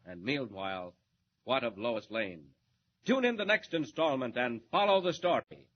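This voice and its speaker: A man's voice: mid-range voice, lively intonation, moderate pace. A male announcer delivering an urgent, suspenseful cliffhanger close to a 1940s radio serial. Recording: dull old radio transcription with hiss.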